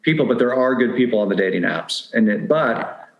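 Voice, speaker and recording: A man's voice: mid-range voice, expressive, fairly quick, muffled recording. A middle-aged man speaking as an interview guest, explaining things in a casual, conversational way. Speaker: podcast guest, dating advice blogger. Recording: roomy, echoing sound.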